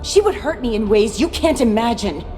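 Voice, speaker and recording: An actress playing a bitter, defiant mercenary lieutenant in a video game. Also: female voice, fairly high pitch, animated, moderate pace, over music, close to an everyday voice.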